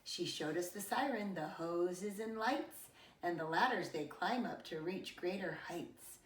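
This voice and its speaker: A middle-aged woman reading a children's picture book aloud in an expressive, deliberate way with dramatic emphasis, like a preschool teacher. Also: on the low side, far from the mic. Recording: roomy echo, away from the mic.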